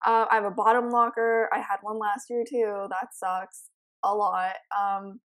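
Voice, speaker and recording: A teenage girl with a raspy morning voice, chatting casually and giggling while commentating a life-sim game. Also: mid-range voice, steady tone, a little slow. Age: teens. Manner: chatty, giggly, rambling with many ums. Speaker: let's play host.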